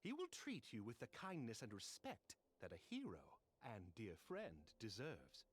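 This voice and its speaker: Husky, earnest courtly fantasy character. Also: male voice, mid-range voice, wildly swooping pitch, moderate pace. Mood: earnest, reassuring. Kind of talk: scripted fantasy game acting.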